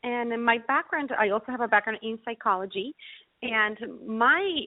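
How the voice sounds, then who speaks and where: A woman from Ecuador, explaining health topics conversationally as an interview guest, in an earnest, deliberate way. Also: fairly high pitch, lively intonation, no echo, phone-quality sound. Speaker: interview guest, naturopathic physician.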